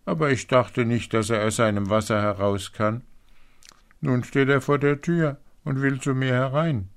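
A man's voice: medium pitch, steady tone, moderate pace, clear, resonant tone, pitched above the performer's own voice, lightly acted. A man voicing a young princess in a fairy tale, girlish, pleading and emotional. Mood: anxious. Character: young princess, girlish, tearful, eager to promise.

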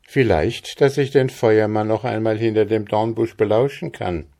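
A man voicing a thoughtful, resourceful young shepherd in a storybook reading, deliberate and reflective. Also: on the low side, warm tone, lightly acted. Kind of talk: fairy-tale storybook reading.

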